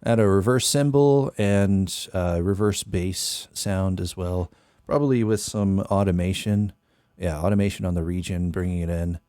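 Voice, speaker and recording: A young man with a very deep voice, chatting casually while working on music in a small bedroom studio, thinking aloud with lots of fillers. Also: normal speed, dark tone, smooth, dry recording. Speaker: music producer streamer. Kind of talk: casual live-stream talk. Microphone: studio mic on boom arm, headphones.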